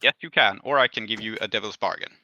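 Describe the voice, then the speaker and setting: A man's voice: lowish voice, fast, bright, forceful tone, lo-fi sound. A man acting as game master, casually narrating and explaining rulings in a conversational way.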